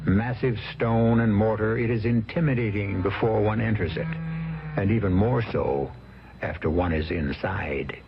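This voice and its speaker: A man playing the droll, ominous host of gothic radio mysteries, gravelly, with a measured, arch delivery. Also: lowish voice, noisy background, lightly acted. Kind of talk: scripted radio mystery narration. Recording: dull radio recording, loud music behind.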